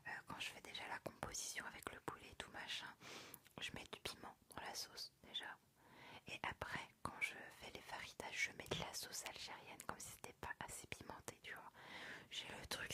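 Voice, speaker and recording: A woman whispering as an ASMR streamer, chatting casually and answering viewer comments, rambling with many fillers. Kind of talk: whispered ASMR live chat.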